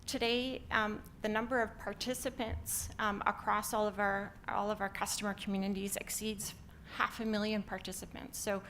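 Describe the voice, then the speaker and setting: A woman's voice: medium pitch, flat delivery, moderate pace, hardly any pauses, a bit bright, slight background noise. A middle-aged woman from Canada with a Canadian accent, giving an upbeat conference talk with many filler ums. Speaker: company founder presenting. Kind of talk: conference presentation.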